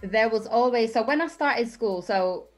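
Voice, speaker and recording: A woman guest in a conversation who speaks hesitantly, pausing and restarting often. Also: a little high, animated, faint music behind. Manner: hesitant, with many pauses and restarts. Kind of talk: casual conversation.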